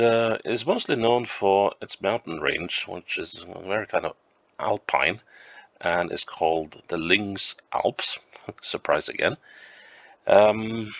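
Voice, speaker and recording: A man with a flu, sounding croaky and sniffly, thinking aloud slowly and hesitantly as he plays a map game at home. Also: sits low, fairly bright tone, no room sound, lo-fi sound. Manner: slow, musing, hesitant with many ums. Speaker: game streamer. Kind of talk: thinking-aloud gameplay commentary.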